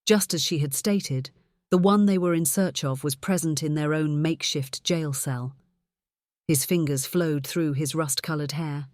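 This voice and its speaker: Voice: medium pitch, measured pace, a little mellow, dry recording, studio quality. A narrator reading scripted fiction for an audiobook.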